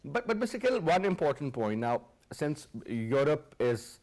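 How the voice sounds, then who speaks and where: A man's voice: on the high side, big pitch swings, measured pace, darkish tone. A man, hosting a TV interview and pressing his guest with emphatic questions. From Pakistan. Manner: emphatic, questioning, repeats words. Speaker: TV host.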